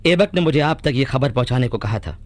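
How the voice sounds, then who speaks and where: A man's voice: medium pitch, animated, a little fast, no room sound, close to an everyday voice. A man acting a humble, loyal courtier-soldier in a radio play, formal and deferential. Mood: deferential, concerned. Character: humble, loyal male courtier-soldier bearing grave news.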